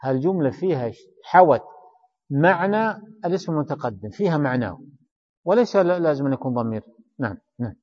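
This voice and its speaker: A man's voice: on the high side, expressive, fast, long pauses, a little mellow. A man teaching grammar in a study circle, explaining each line, repeating key phrases and quizzing his student. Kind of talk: traditional study-circle lesson explaining a text. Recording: reverberant hall, dull lo-fi sound.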